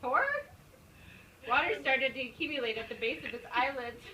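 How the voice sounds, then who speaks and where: A woman giving a dramatic, comic reading of a personal story with an expressive, emphatic delivery, recorded on a phone in a room. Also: high voice, brisk. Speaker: amateur reader performing for coworkers. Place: indoor room at a workplace gathering. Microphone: phone camera at some distance. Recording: echoey room, distant mic, faint noise.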